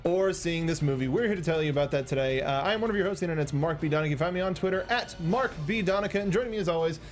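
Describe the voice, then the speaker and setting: A young man hosting a movie discussion, talking casually with halting, repeated words into a close studio microphone. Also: on the high side. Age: young adult. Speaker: show host. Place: small indoor podcast studio. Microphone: close studio microphone with foam cover, headset monitoring.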